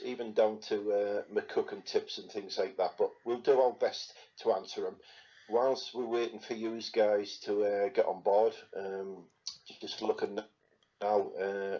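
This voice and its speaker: A man with a lowish voice chats loosely through a live stream, pausing often on ums and uhs. The audio is dull and lo-fi, like a home web stream. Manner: relaxed, chatty, many fillers. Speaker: spirit medium hosting a live Q&A. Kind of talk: casual live-stream chat.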